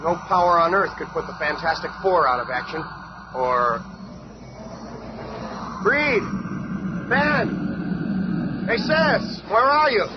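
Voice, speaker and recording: An actor playing a brash, excitable young hot-headed superhero in a 1970s radio play. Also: male voice, high voice, takes it slowly, long pauses, heavily acted. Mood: alarmed. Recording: noisy old 1970s radio recording.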